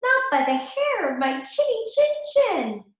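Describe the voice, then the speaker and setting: Woman putting on a little pig: clever, polite, small; very high squeak, slow and careful; storybook reading with a roomy echo. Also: very animated, fairly bright tone, heavily acted. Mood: defiant. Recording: echoey room.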